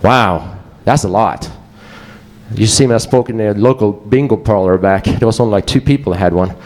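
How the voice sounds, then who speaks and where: A man's voice: fairly low pitch, normal speed, a little mellow. A man with a Swedish accent giving a casual, joking conference presentation to a live audience. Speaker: conference speaker.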